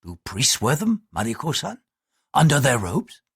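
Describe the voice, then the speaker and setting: A man's voice: mid-range voice, wildly swooping pitch, normal speed, vocal fry, fairly bright tone, slightly rough, no room sound, clean studio sound, full character voice. A man voicing a proud, short-tempered male samurai who speaks tersely.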